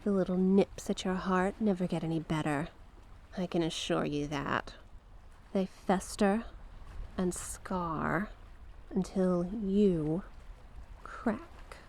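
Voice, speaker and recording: A woman playing a mysterious banished sea witch in an ASMR roleplay, coaxing and knowing, with a teasing, deliberate manner. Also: lowish voice, darkish tone. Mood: solemn, knowing. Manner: slow, teasing, deliberate, coaxing with pet names. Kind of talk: ASMR character roleplay monologue.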